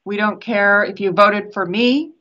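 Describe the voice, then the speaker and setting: An older woman moderating a public online meeting as an elected official, speaking in a deliberate, encouraging way over a video call. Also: mid-range voice, sharp tone. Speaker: elected official hosting an event. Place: small office. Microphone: video call computer microphone. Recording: dull video call audio.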